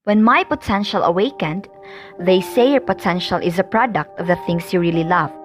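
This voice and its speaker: A woman's voice: fairly low pitch, moderate pace, close to an everyday voice. A friendly, chatty schoolgirl who never sleeps, played by a woman, with faint music under the radio-play scene. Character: sleepless, friendly girl photographer.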